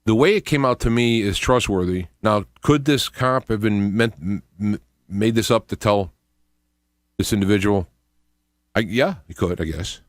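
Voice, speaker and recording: A middle-aged to older man hosting a radio show, thinking aloud in a halting, unscripted monologue with repeated words. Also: fairly low pitch, no room sound, clean studio sound. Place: small indoor home studio. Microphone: close studio microphone with headphones.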